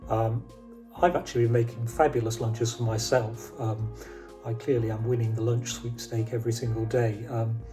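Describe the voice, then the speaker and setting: A man's voice: mid-range voice, level tone, moderate pace, music in the background. A man talking casually in an interview with a wry, tongue-in-cheek manner.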